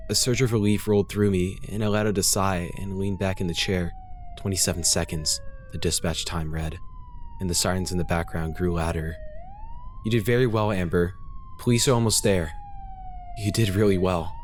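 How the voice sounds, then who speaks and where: A man's voice: low-pitched, steady tone, moderate pace, slight background noise. A man reading a first-person horror story as a dramatic scripted narration, grave and intense.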